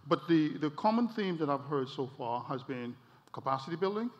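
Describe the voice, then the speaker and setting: A man's voice: on the high side, animated. Man moderating a panel in a hall. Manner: measured, summarizing, with small repeats. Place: conference hall. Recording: long reverb, slightly dull sound.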